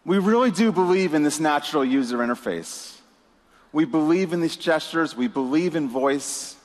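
High-voiced man giving a keynote in a hall. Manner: upbeat, emphatic, with "you know" fillers. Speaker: keynote presenter. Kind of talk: conference keynote speech. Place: large conference hall. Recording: reverberant hall with audience.

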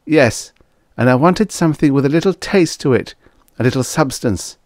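A man's voice: medium pitch, animated, moderate pace, a little mellow, no echo, studio quality, voice pushed up, lightly acted. A man voicing a blunt, grumbling space trucker with colloquial talk in a science fiction story.

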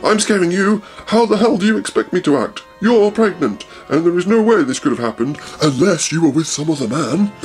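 A man's voice: on the high side, big pitch swings, fairly quick, few pauses, a little mellow, faint music behind, voice pushed up. A man voicing a jealous, angry young husband, raising his voice to accuse. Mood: yelling. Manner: raised, accusing, hammy.

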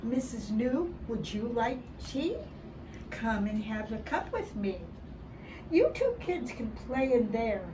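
An older woman reading a children's picture book aloud in an expressive, deliberate way, dramatizing it for young children. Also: fairly high pitch. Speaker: early childhood storybook reader. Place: outdoors by a building wall and door. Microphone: camera a short distance away. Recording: distant mic, clear background noise outdoors.

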